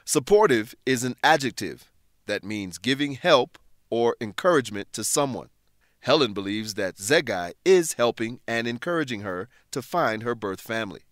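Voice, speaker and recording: Animated male English-lesson narrator. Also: mid-range voice, dry recording, studio quality. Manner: clear, deliberate explaining. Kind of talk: scripted educational narration.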